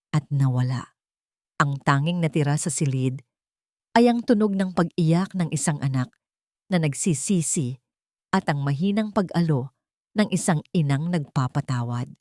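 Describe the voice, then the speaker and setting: Woman, lower-pitched voice, dramatic storyteller, scripted narration, dry close sound. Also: a little mellow, no room sound.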